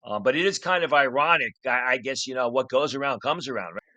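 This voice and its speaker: A hoarse man in his sixties, a draft analyst telling stories in casual podcast chat. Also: mid-range voice, fast, bright, forceful tone, dry recording. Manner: storytelling, matter-of-fact.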